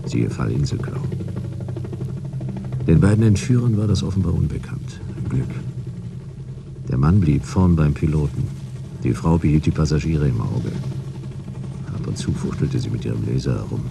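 A man playing a dry, world-weary detective who narrates his case in laconic, deadpan radio drama style. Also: very deep voice, normal speed, gravelly, pauses often, noisy background, exaggerated voice. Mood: dry, sardonic. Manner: laconic, deadpan, clipped sentences. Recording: loud vehicle ambience behind.

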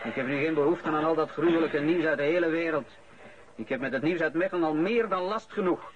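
An actor playing a stiff, rule-bound, long-suffering grown son and office boss in a radio play. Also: male voice, on the high side, a little fast, highs cut off, slight background noise, lightly acted. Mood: weary.

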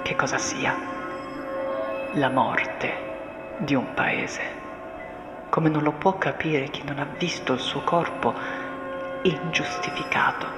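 A woman performing a solemn, deliberate dramatic monologue of literary text, often sinking into breathy whispers. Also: deep voice. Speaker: stage actress performing a monologue. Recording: echoey, long reverb, music underneath. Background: music and soundscape.

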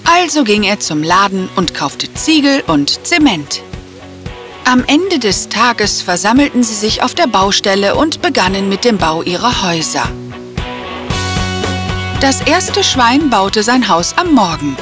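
A woman's voice: medium pitch, big pitch swings, measured pace, a bit bright, faint music behind. A woman storyteller reading a scripted fairy tale for children. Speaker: storyteller, narrator.

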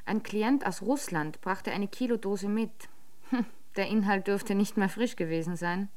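A detective agency's secretary in a radio play, played by a woman, with a mid-pitched voice. She is cool and deadpan, delivering dark wit in a dry, matter-of-fact way. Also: measured pace, close to an everyday voice. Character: coolly witty detective-agency secretary writing crime novels. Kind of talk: radio drama acting.

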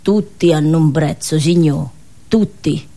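A woman's voice: deep voice, measured pace, dark tone, voice pushed down. A woman voicing a gossipy, cynical village woman with colloquial, nosy, muttered asides. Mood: cynical gossip.

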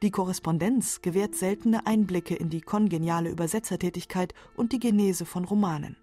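A woman's voice: on the low side, brisk, dark tone, faint music behind. A woman narrating a radio feature from a script. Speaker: documentary narrator.

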